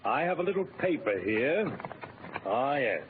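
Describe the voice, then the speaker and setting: An actor playing a brisk, genial city hall official in a 1940s radio comedy, speaking in a formal, ceremonious manner. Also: male voice, medium pitch, sing-song, background noise. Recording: muffled old radio broadcast with studio audience.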